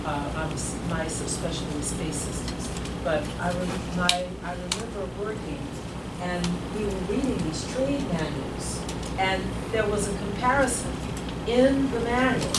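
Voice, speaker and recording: A woman's voice: fairly low pitch, unhurried. A grandmother in her sixties telling a rambling personal story at a community meeting, growing emphatic as she goes. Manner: rambling, grows emphatic and forceful. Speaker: meeting attendee. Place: meeting room. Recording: echoey room, far from the mic, loud noise.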